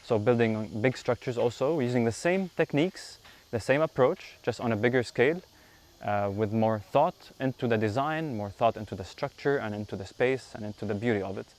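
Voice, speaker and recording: A man in his thirties from Lebanon, a yoga teacher, answering interview questions outdoors on a lapel mic in an unhurried, thoughtful way with frequent fillers. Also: medium pitch, a little mellow. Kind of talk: casual interview. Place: outdoors in a bamboo grove.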